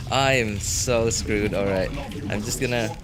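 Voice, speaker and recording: A man's voice: mid-range voice, sing-song, slow, a crowd in the background. A man chatting casually as a game streamer on a livestream, rambling and often searching for words. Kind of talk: casual livestream chat while gaming. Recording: game audio behind voice. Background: game music and sound.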